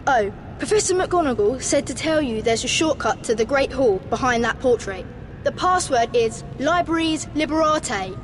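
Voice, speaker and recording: A helpful pupil in a video game. The voice is high, with noticeable noise behind it. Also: female voice, expressive, normal speed. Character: helpful schoolchild passing on a message.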